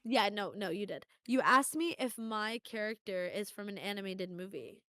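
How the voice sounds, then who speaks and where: A young woman co-hosting a podcast, chatting casually and teasing her partner with quick wit. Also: mid-range voice, a loud crowd. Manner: teasing, quick-witted banter. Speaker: podcast co-host. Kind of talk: casual chat.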